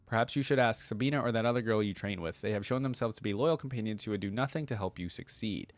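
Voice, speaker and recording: Guy reading as a guarded outcast temple girl in game lore, wry and formal, fast, dry sound. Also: on the low side, no echo, lightly acted. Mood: guarded, doubtful. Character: guarded, self-doubting young female outcast acolyte.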